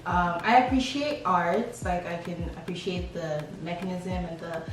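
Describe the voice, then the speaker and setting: A woman's voice: lowish voice, measured pace, a little hiss or hum. A young woman from Lagos, chatting casually and playfully as she answers questions about herself for a vlog. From Lagos, Nigeria. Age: young adult. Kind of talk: casual chat. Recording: echoey room, distant mic, background beat.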